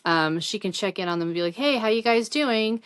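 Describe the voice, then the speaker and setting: A woman's voice: mid-range voice, lively intonation, brisk, clear, resonant tone, no echo. A middle-aged woman giving a casual, chatty product review in a rambling, conversational, informal way.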